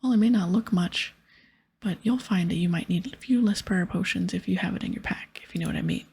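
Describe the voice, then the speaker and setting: A woman voicing a cheeky, shifty male pirate who was once a priest, in a breathy whispered game reading. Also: lowish voice, brisk, darkish tone, full character voice. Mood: sly. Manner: sly, offhand.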